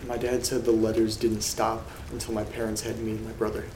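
A man hosting a horror audio drama, reading letters aloud in a quiet, flat, gravelly way. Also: fairly low pitch, fairly quick. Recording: roomy echo with loud rain noise. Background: rain and thunder.

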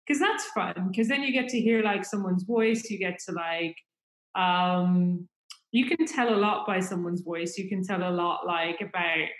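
A woman's voice: fairly low pitch, a little slow, roomy sound, poor audio. A woman with an Irish accent chatting casually and at length, with plenty of fillers. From Ireland. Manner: chatty, rambling with many fillers. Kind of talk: casual chat.

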